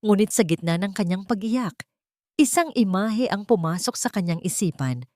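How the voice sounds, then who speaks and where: A woman's voice: medium pitch, expressive, moderate pace, a little mellow, no room sound, clean studio sound. A woman with a Filipino accent narrating a dramatic audio story, voicing the characters' lines herself.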